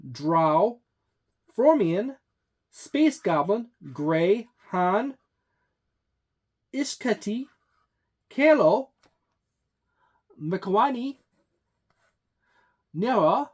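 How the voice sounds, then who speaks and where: A tabletop game reviewer giving a book overview, hesitant, with frequent fillers and restarts. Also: medium pitch.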